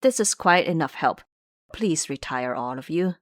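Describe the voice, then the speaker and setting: Audio drama master monk, male, played by a woman: gentle, humble, subdued, pitched low. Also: animated, normal speed, no room sound, studio quality. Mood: humble, weary.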